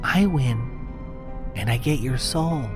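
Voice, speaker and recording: A man's voice: mid-range voice, expressive, slow, over music, pitched above the performer's own voice. A man voicing a small, childlike boy apparition in a horror story, sweet with a sinister edge. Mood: eerie, taunting. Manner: innocent pleading turning coldly taunting.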